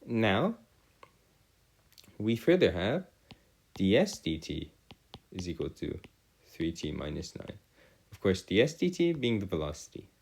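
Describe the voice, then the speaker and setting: Formal male tutor, low and very animated pitch. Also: measured pace, creaky, long pauses. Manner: formal, wordy phrasing with frequent self-correction. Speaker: math tutor. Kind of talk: video lesson working through calculus problems aloud.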